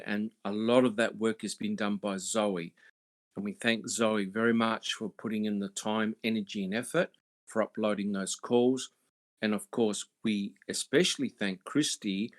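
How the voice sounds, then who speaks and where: Male podcast host, lowish voice, halting delivery full of uh and um. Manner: halting, many uh and um fillers. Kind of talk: casual panel podcast chat.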